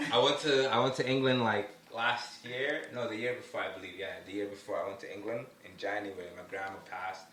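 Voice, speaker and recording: A man's voice: lowish voice, bright, forceful tone, distant mic. A man speaking casually as a podcast guest, slangy and emphatic, with motivational advice-giving delivery. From born in Somalia, lived in Ottawa, Canada. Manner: slangy, emphatic, motivational, peppered with "you know what I mean". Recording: roomy, off-mic, faint background noise.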